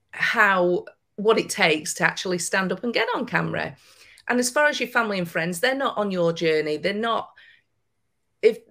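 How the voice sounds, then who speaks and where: Woman, Lancashire accent, low-pitched, gravelly voice, emphatic, encouraging podcast guest. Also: fairly bright tone. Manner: encouraging, emphatic coaching advice. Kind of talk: podcast interview.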